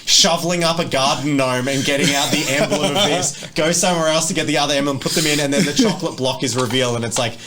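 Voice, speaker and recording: A man's voice: a little high, fast, few pauses, over a noisy crowd. A man acting as game master, narrating a tabletop horror game in an improvised, conversational and descriptive way.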